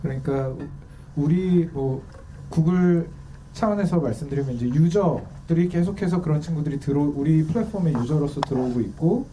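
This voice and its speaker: A man asks polite, measured interview questions in a very dark, mellow voice. The mic sits far from him, so he sounds distant, with a faint noise bed behind him. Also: fairly high pitch.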